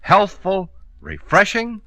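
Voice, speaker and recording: A man's voice: sits high, slow pace, sharp tone, no room sound. An American man announcing a 1950s radio show and its sponsor in a polished, emphatic scripted style. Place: radio studio.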